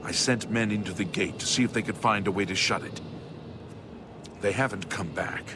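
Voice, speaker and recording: An actor playing a weary but determined city guard captain in a fantasy video game, commanding and exasperated. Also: male voice, lowish voice, normal speed, background noise, lightly acted. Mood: grim resolve.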